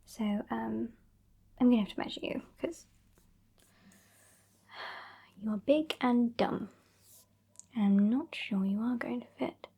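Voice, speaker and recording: Female performer as haughty, teasing British vampire woman, ASMR roleplay. Soft whispery delivery, dark mellow tone, creaky edges, dry and bossy. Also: fairly high pitch, unhurried, full character voice. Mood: condescending, teasing. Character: sassy, bossy female vampire, over a century old.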